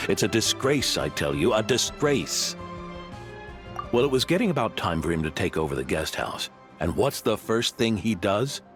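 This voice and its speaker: An actor playing a proud older male guest house owner in a video game, speaking in a theatrical, emphatic storytelling manner. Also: on the low side. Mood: indignant. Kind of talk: animated game dialogue, storytelling. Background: music.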